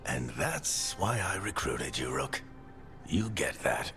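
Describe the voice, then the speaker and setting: An extremely deep, gravelly game mentor, tired but encouraging. His delivery rises and falls expressively over music. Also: big pitch swings, slow, bright, forceful tone, exaggerated voice. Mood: weary, encouraging. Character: weary, gruff male mentor, encouraging. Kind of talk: video game voice acting. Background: clear game music.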